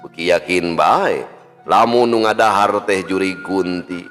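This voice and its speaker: A very low-voiced man narrates a folk tale with a Sundanese accent. His voice sits in a long hall reverb, with music playing underneath. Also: a little slow, fairly bright tone. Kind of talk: dramatic storytelling narration.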